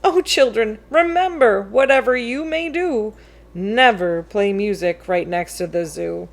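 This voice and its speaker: Slow-paced woman reading a children's story. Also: medium pitch, creaky. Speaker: read-aloud storyteller. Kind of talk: expressive children's picture book read-aloud in verse.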